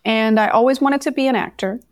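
A woman from Denmark, a podcast guest telling her story in a candid, animated way. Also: fairly high pitch, brisk, no room sound, clean studio sound. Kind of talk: casual interview conversation.

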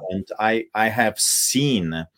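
A man's voice: fairly low pitch, a little slow, a bit bright. A middle-aged man from Poland with a Polish accent, a pastor speaking emphatically as a guest over a video call, with many fillers and restarts. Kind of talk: impassioned interview talk.

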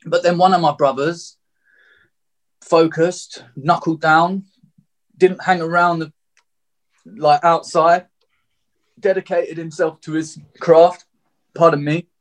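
A man's voice: a little high, steady tone, takes it slowly, pauses often. A man of thirty with a southeast English accent, telling his personal story openly and reflectively as an interview guest. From Margate, England.

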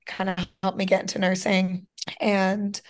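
Slightly hoarse young woman, chatty. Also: medium pitch, monotone, unhurried. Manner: chatty, lots of "like" and "you know" fillers. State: slightly hoarse, losing her voice. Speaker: guest, professional softball player. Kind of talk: casual interview chat.

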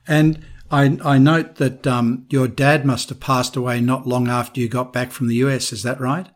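A man hosting an interview, giving introductions and questions in a measured, conversational way. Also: mid-range voice, little pitch movement.

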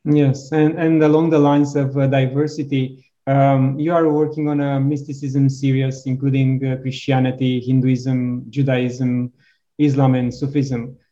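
Man, interview host, flat mellow voice, dull lo-fi sound, hesitant. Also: mid-range voice, unhurried. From Romania. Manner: hesitant, many "uh" fillers. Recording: dull lo-fi audio.

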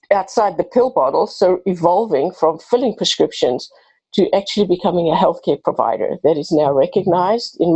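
South african lady pharmacist, 50s, low mellow voice, kinda husky, talks w/ passion, dry sound. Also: no room sound, rough recording. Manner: earnest, passionate, with restarts. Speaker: pharmacist and podcast host. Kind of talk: interview answers.

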